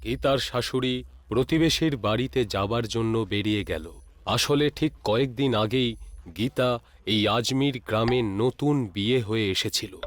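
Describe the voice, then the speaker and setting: A man narrating a scripted horror story in a measured, dramatic storytelling manner. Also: medium pitch.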